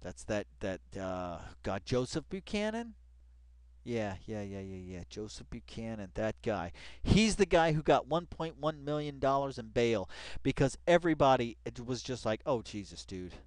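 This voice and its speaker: A man reading along with an article and commenting casually, rambling and excitable, repeating phrases for emphasis and swearing freely. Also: mid-range voice, moderate pace, smooth, no room sound. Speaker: video commentator.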